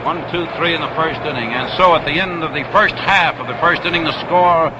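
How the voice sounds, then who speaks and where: A man's voice: a little high, fairly quick. Vintage radio baseball announcer, man, noisy broadcast. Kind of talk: live 1960s radio sports commentary. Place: outdoors at a baseball stadium.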